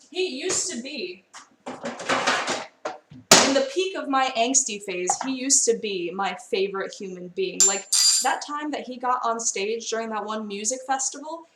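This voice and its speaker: A young woman cooks live and talks easily with her viewers, answering their messages as she goes. Her voice sits a little high. It sounds roomy and off-mic, with faint music behind her. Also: distant mic. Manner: chatty, reads out and answers chat. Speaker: streamer cooking live. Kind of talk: casual live-stream chat. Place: kitchen in a friend's house.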